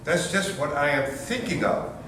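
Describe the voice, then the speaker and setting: Actor playing a high-voiced, excitable young husband, distant and echoey. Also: male voice, normal speed. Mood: indignant. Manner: exclamatory, indignant, mildly swearing. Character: bewildered, blustering young new father in an Edwardian farce. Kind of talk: readers theater comedy acting. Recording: echoey room from far off, faint noise.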